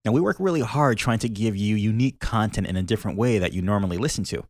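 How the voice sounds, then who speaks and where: Male podcast host, story reading, expressive, emphatic slowdowns, very lively pitch. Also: no echo, clean studio sound. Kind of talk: reading a short story aloud.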